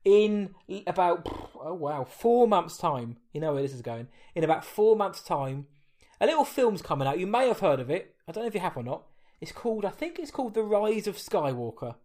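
A man's voice: sits high, sing-song, a little fast, darkish tone. A man from England with an Essex accent, hosting a casual interview in a chatty, rambling way that often corrects itself. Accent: Essex English. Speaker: podcast host.

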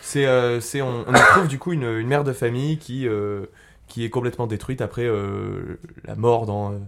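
Man co-host, mid pitch, eager and emphatic, casual. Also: fairly even intonation, normal speed, gravelly, pushed and bright. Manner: enthusiastic, emphatic recommending. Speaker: podcast co-host. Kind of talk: casual chat.

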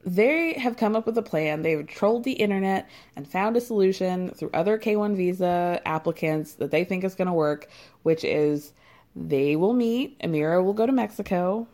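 A woman's voice: lowish voice, dark tone. A young woman with a sarcastic, gossipy manner, casually recapping a reality dating show and filling her talk with asides. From United States. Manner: sarcastic, gossipy, lots of fillers and laughs. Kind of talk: casual chatty commentary.

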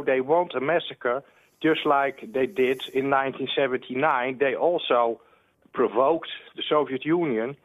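A man calling a radio show, arguing a political point emphatically and quickly, stumbling over restarts. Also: mid-range voice, dry recording. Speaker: radio caller. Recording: tinny dry phone line.

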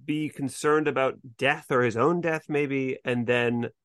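A man's voice: mid-range voice, fairly even intonation, a little slow, fairly bright tone, smooth, dry recording, clean studio sound. A man co-hosting a podcast in a casual, conversational discussion. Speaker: podcast co-host. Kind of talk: casual discussion, recapping TV episodes.

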